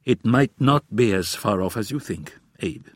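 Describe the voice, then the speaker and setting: A man voicing an easygoing, gently skeptical young man in an audiobook, speaking in a quick, friendly way close to his own voice. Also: on the low side, animated. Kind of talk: audiobook character voice.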